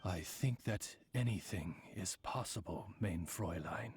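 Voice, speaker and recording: Oz, a deep, courtly raven servant. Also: male voice, a little mellow, a little hiss or hum. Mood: devoted, formal. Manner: formal, deferential, florid, measured. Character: courtly, pompous male talking raven familiar, devoted servant. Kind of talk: video game voice acting. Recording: music playing behind the voice.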